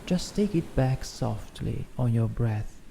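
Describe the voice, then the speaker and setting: A man guiding a meditation in a gentle, soothing, instructional way, reading as scripted narration. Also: mid-range voice, expressive, slow, gravelly. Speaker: meditation guide. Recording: faint background noise, ambient music. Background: soft ambient music.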